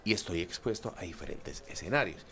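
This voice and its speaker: A man hosting a radio interview from a booth, often checking in with a quick "sí?". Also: deep voice, expressive, vocal fry, bright, forceful tone. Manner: checks in with the listener, asking "sí?". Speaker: radio host and social communicator.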